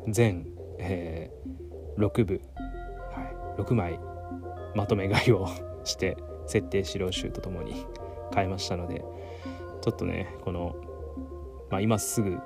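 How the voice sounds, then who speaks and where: A man's voice: low-pitched, steady tone, slow pace, gravelly, pauses often. A man hosting a casual, rambling solo talk in a giggly, self-amused manner. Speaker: host of a solo talk show. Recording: music playing behind the voice. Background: background music.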